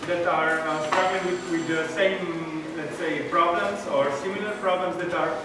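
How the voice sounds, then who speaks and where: A man's voice: fairly high pitch, steady tone, unhurried. Hesitant man moderating from afar. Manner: hesitant, filler-heavy. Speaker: event moderator. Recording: echoey room, distant mic, noisy.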